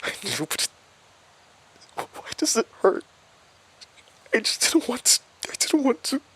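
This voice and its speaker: A man playing a distraught, bewildered victim in a horror audio drama, crying and stammering in a breathy, broken voice. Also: very high voice, big pitch swings, measured pace, bright, forceful tone, heavily acted. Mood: anguished, crying. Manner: stammering, repeating words. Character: distraught, bewildered male victim, overwhelmed by grief.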